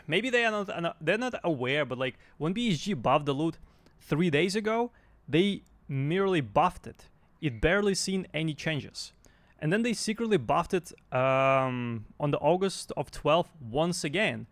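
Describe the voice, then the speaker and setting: A man talking casually and off the cuff as a gaming news host, in a hesitant, halting way. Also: on the high side, a bit bright, no echo. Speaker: YouTube game news host.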